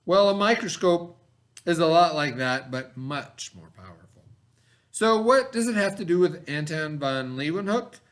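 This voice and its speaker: A man reading aloud as a teacher in an expressive educational style, pausing to explain and repeat key words for children. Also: fairly high pitch.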